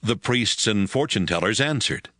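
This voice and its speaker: A man's voice: fairly low pitch, animated, moderate pace, fairly bright tone, no room sound, studio quality. A man narrating a dramatized audiobook as a scripted storyteller.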